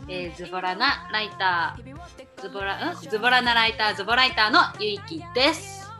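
A woman's voice: mid-range voice, expressive, brisk, pushed and bright, faint music behind. A woman co-hosting a podcast in casual, conversational chat. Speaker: podcast co-host; writer.

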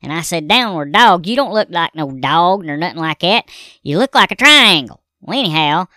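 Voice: mid-range voice, lively intonation, normal speed, pushed and bright, no room sound, clean studio sound. A performer voicing a rambling, grumbling Southern redneck husband with a folksy drawl, telling home stories on a podcast. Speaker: recurring comedy character. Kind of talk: comedic character monologue.

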